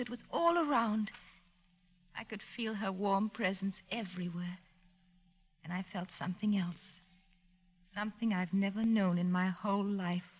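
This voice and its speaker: An actress playing a dreamy, haunted young woman devoted to her dead mother, speaking haltingly in a 1940s radio drama. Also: medium pitch, natural voice. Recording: dull, lo-fi 1940s radio recording.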